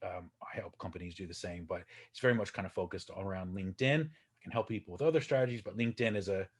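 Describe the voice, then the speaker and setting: A man in his forties, from Texas, talking as a guest on a podcast. His voice sits low, and he rambles in a friendly, self-deprecating way, full of ums, uhs and restarts. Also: quick pace, breathy edge. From Texas, USA. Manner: chatty, self-deprecating, many fillers and restarts. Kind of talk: casual interview talk.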